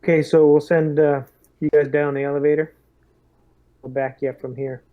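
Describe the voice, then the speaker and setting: A man playing a tabletop roleplaying game, talking casually and haltingly, in and out of character. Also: a little high, little pitch movement, dry recording, narrow, tinny audio.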